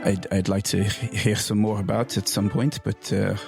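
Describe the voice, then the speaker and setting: A secretive Frenchman acted by a man confides in a low, creaky voice. He is suspicious and cryptic, picking his words haltingly, a tabletop game character with music playing behind him. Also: level tone. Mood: suspicious, confiding. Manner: hesitant, cryptic, conspiratorial.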